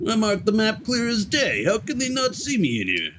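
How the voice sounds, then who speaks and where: A man's voice: sits high, huge pitch swings, normal speed, background noise, voice pushed up. A man voicing an irritable, suspicious revolutionary in a video game, grumbling with impatience and scorn. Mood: exasperated.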